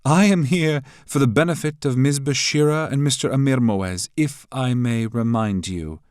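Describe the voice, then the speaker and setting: A man's voice: mid-range voice, sing-song, moderate pace, no room sound, studio quality, pitched above the performer's own voice, natural voice. A man voicing a cold, mocking woman official who speaks coolly and sharply. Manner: cool, sharp, condescending.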